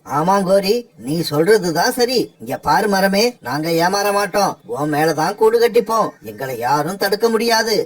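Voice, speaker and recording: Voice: medium pitch, lively intonation, normal speed, close to an everyday voice. A performer voicing a headstrong, proud crow in a defiant, emphatic way for a children's cartoon fable. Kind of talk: cartoon voice acting.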